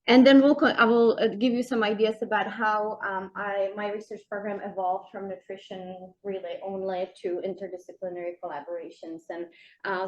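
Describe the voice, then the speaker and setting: A middle-aged woman from the Czech Republic with a Czech accent, a nutrition professor giving a keynote lecture in a lecture room, talking with many fillers and light asides. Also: medium pitch. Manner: many fillers, warm self-deprecating asides. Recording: dull lo-fi sound.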